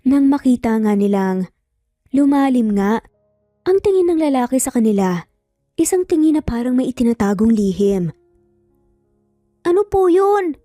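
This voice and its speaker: A woman's voice: fairly high pitch, lively intonation, moderate pace, pauses often, dark tone, no echo, studio quality, soft background music. A woman with a Filipino accent narrating a dramatic scripted story.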